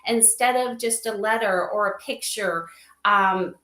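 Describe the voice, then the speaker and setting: A woman's voice: mid-range voice, a little slow, sharp tone. A woman explaining conversationally as an interview guest, acting out quoted lines as she goes. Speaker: podcast guest, company advisor. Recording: roomy, echoey sound.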